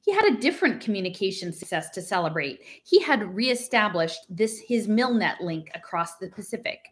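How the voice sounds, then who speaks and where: A woman's voice: medium pitch, sing-song, moderate pace, slight background noise. Middle-aged American woman, conversational and emphatic. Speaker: host of a read-aloud stream. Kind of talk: casual talk and unrehearsed reading aloud from a book. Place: small living room at home, indoors.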